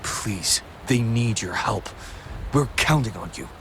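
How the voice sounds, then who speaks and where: Urgent base guard, lowish voice. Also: male voice, lively intonation, takes it slowly, fairly bright tone, a little hiss or hum, exaggerated voice. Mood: urgent, pleading. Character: earnest male soldier guarding a besieged base. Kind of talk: dramatic video game acting.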